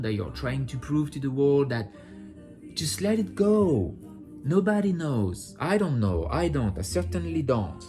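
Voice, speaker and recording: A man talking straight to his audience in an unscripted personal monologue, blunt and profane, building to impassioned outbursts. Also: mid-range voice. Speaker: vlogger sharing personal insights.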